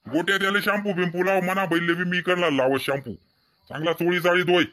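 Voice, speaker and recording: A man's voice: sits high, steady tone, fast talker, pushed and bright. A man voicing a proud, practical farmer father in a comedy cartoon, plain-spoken and directing, with an Ahirani accent. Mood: proud, affectionate.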